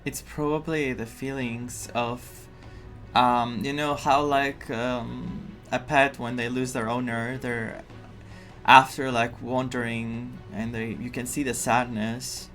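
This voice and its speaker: Rambling, excitable male game streamer. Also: medium pitch, takes it slowly, soft background music. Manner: rambling, excitable, stumbles over names. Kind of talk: casual playthrough commentary.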